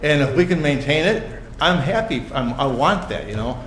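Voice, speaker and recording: A man's voice: fairly high pitch, lively intonation, moderate pace, fairly bright tone. A man speaking at public comment in a village board meeting, emphatic and heartfelt, leaning on certain words and repeating himself. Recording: echoey meeting room, faint hiss.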